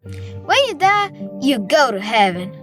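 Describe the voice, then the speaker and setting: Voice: high-pitched, sing-song, slow, over music, exaggerated voice. A performer playing an earnest, naive little schoolgirl asking questions in a horror audio drama. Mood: innocent, curious. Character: earnest, innocent little schoolgirl named Dolly.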